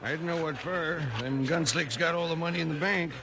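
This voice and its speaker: A man's voice: fairly high pitch, fairly quick, noisy background, natural voice. An actor playing an agitated, rustic Old West townsman in a 1950s radio western, folksy and emphatic. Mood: agitated, bitter.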